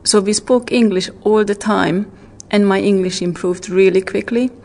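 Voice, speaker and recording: A woman from Hungary telling a personal story in a warm way as she shares her tip. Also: mid-range voice, steady tone, moderate pace. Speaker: learner giving a tip. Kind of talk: scripted textbook audio.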